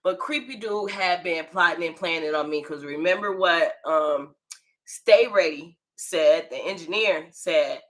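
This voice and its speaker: A woman's voice: lowish voice, unhurried, fairly bright tone. An American woman telling a personal story in a casual, slangy way, swearing freely and acting out what people said. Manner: slangy and profane, acts out quoted dialogue, checks in with the listener.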